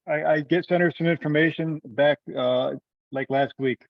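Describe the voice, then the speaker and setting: A man's voice: fairly high pitch, fairly even intonation, moderate pace, gravelly, no room sound. A man talking briefly and matter-of-factly on a conference call. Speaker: meeting participant. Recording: dull, distant teleconference audio.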